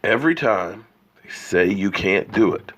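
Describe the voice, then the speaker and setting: A man's voice: on the low side, expressive, measured pace, a bit bright, highs cut off. A man hosting a sports opinion livestream while he has a cold, arguing emphatically and firing off rhetorical questions. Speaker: sports show host.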